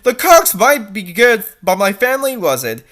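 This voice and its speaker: A woman's voice: mid-range voice, very animated, measured pace, a bit bright. A woman voicing a melodramatic, edgy fourteen-year-old cat princess from a fanfiction, in an overdramatic, mock-serious manner. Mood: grim.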